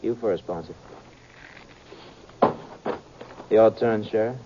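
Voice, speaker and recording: A man's voice: fairly low pitch, moderate pace, lightly acted. An American man playing a cold, taunting outlaw in an old radio western, with folksy, ungrammatical talk. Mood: gruff, commanding. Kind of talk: 1950s radio western acting. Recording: muffled, noisy old radio recording.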